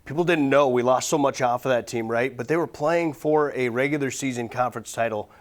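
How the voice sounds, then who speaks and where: A man's voice: medium pitch, fairly quick. An American man, a play-by-play announcer, talking through sports analysis as a guest in a casual conversational way.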